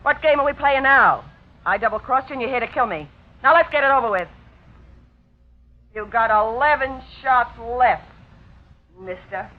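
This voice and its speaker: An actress playing a tough, fatalistic shooting gallery worker in a hard-boiled 1940s radio mystery. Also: female voice, fairly high pitch, lively intonation, moderate pace, pauses often, lightly acted. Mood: defiant. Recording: tinny 1940s radio, faint music.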